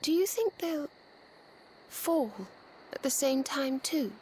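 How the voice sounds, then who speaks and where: An actress voicing a gentle, wistful young woman in a video game, with a soft, dreamy, hesitant manner. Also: sits high, slow pace, a little hiss or hum.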